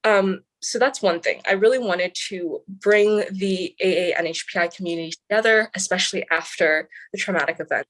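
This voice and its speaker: A woman's voice: mid-range voice, normal speed, hardly any pauses, fairly bright tone, poor audio. A young woman from the San Francisco Bay Area, a student senator, giving earnest, articulate interview answers with frequent "um" fillers. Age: young adult.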